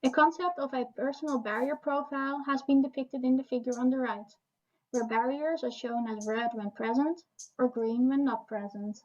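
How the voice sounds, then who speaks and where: High-voiced woman reading a research talk. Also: fairly even intonation, moderate pace, highs cut off. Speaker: research presenter.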